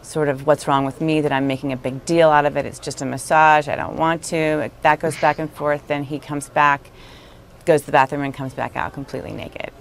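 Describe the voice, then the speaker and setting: A woman with a very low voice recounts a personal experience as an interviewee. She is earnest and halting. There is faint noise in the clip. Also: little pitch movement. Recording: noisy interview clip playing.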